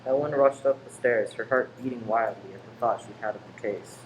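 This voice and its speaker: Story narrator over clear noise. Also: mid-range voice, brisk. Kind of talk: dramatic scripted story narration.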